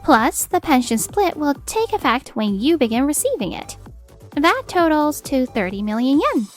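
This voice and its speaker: A woman playing a composed, steely attorney in a manga dub, speaking formally and with measured assertiveness. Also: sits high, sing-song, faint music behind. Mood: coolly cutting. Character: composed, steely female attorney confronting a husband. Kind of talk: acted manga dub dialogue.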